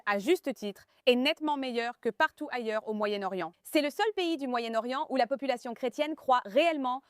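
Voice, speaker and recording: A woman panelist on a talk show, explaining her view quickly. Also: fairly high pitch, clear, resonant tone, no echo, studio quality.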